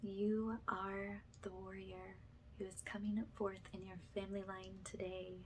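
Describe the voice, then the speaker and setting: A woman speaking softly and breathily, often slipping into a whisper, gently reassuring in the style of a guided ASMR energy-healing session. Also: medium pitch, flat delivery, a little hiss or hum. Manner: gentle, slow, reassuring, affirming.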